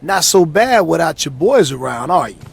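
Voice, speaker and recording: A streetwise wrestler in a game cutscene, loyal and cocky. His voice sits high, with a gravelly, husky grain. He talks like someone ready to back up a friend with fists. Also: sing-song, normal speed, exaggerated voice. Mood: taunting, confident. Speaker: wrestler character. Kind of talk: scripted game cutscene dialogue.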